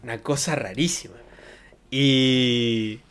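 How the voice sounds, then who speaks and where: A young man with an Argentine accent from Santa Fe talks in a medium-pitched voice. He chats like a streamer telling stories to his viewers, loose, colloquial and jokey. He speaks close into a desk microphone. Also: fairly even intonation, slow, a bit bright, smooth. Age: young adult. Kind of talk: casual livestream chat, reminiscing. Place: bedroom streaming setup. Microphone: close desk microphone with pop filter.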